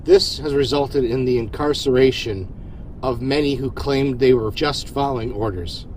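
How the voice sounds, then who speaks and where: Starship captain, male actor: steady, modest, reflective; medium-pitched voice over a rumbling ship background. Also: moderate pace, slight background noise, natural voice, rough recording. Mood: formal, composed. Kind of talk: science fiction audio drama acting.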